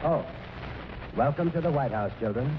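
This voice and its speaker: An actor playing a genial, glib politician with avuncular, folksy speechifying in 1940s radio comedy. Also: male voice, medium pitch, animated, moderate pace, over loud music, natural voice. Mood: genial, placating. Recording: very noisy, dull old radio broadcast.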